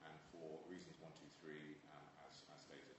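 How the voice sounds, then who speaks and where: Deep-voiced man, far off in an echoey chamber. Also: flat delivery. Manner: halting, many "uh" fillers, reads formal wording. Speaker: meeting chair. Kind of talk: chairing a council meeting. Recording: distant mic in an echoey chamber with noise.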